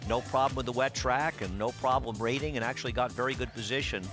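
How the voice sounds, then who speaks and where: A racing analyst breaks down races and trades dry jokes in the studio. His voice is mid-pitched, with music clearly playing behind him. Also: steady tone, fast. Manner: chatty, dry humor. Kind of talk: race analysis and studio banter.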